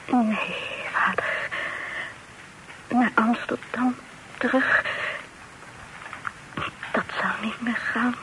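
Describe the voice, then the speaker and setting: An actress playing an ailing, remorseful daughter in a radio drama, speaking haltingly and faintly with a tearful weakness. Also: female voice, on the high side, steady tone. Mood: frail, tender. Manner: halting, faint, often whispering. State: weak, tearful. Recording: noisy old radio play recording.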